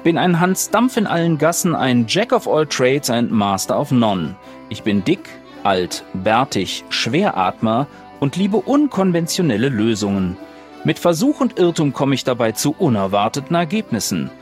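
A man chats and improvises as a player on a podcast. His mid-pitched voice moves up and down a lot, in a casual style, with light music in the recording. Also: very animated, normal speed. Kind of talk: casual improvised role-play and chat.

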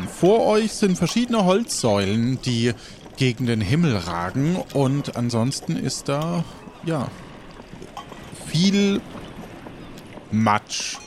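A man narrating scenes as game master of an improvised role-play, measured and often pausing. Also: medium pitch, a little hiss or hum.

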